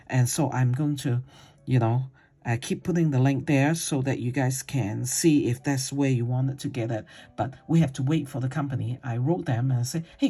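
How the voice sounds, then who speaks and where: Art teacher rambling thru a paint-along, mellow husky voice, lots of ums. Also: mid-range voice. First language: Cantonese. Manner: rambling and chatty, with many hesitations and fillers; simple, non-native grammar. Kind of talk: casual painting tutorial talk.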